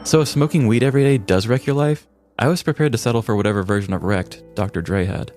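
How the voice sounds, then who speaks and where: A man's voice: lowish voice, quick pace, a little mellow, soft background music. An American man co-hosting a comedy podcast, telling stories with wry, dry humor. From American Midwest. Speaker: podcast co-host. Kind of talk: casual comic podcast talk and scripted storytelling.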